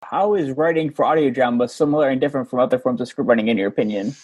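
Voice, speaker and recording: A man interviews a guest in a voice of medium pitch. His tone is noticeably husky and rough-edged as he puts his questions. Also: racing, darkish tone, rough recording.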